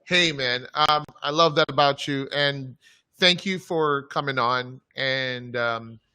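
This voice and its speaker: A man's voice: medium pitch, slow, bright, forceful tone, clean tone. A man hosting a live online show, introducing a guest with enthusiasm and plenty of fillers. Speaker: host.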